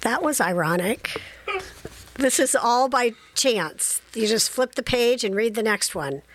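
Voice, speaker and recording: A woman hosting community radio, chatting casually and reading passages aloud slowly from a studio microphone. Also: on the high side, animated, a little hiss or hum.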